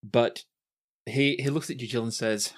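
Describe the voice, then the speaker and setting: A man narrating as game master of a tabletop game, relaxed and chatty, correcting himself as he goes. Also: mid-range voice, measured pace, a little hiss or hum.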